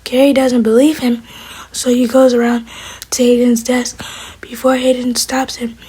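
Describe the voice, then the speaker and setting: A woman's voice: on the high side, flat delivery, unhurried, dark tone. A woman whispering a gossipy, wry recap of a TV drama in an ASMR style. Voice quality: whispering, breathy. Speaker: TV show recapper.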